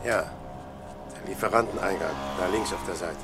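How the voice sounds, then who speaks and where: A man playing a composed, shrewd investigator who speaks in a measured, matter-of-fact way in a German radio play. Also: deep voice, background noise, natural voice. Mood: calm. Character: composed, shrewd male investigator, friend of the inspector.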